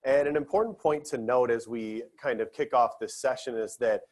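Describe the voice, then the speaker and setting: Guy moderating a campus conference panel, earnest, lots of ums, mid pitch. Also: moderate pace, a little mellow. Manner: earnest, many fillers and restarts. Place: conference session room.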